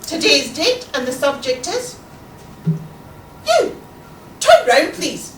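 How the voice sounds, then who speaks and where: Woman acting a brisk, high-voiced Scottish schoolteacher. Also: animated, a bit bright. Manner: bossy, emphatic. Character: brisk, exasperated female schoolteacher addressing her class. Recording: echoey room, distant mic, faint noise.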